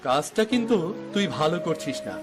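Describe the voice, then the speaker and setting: A decent village man from a cartoon voiced by a man, firm and reproachful. His voice sits fairly high for a man, over a clear bed of music. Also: sing-song, a little fast. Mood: stern. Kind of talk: Bengali cartoon acting.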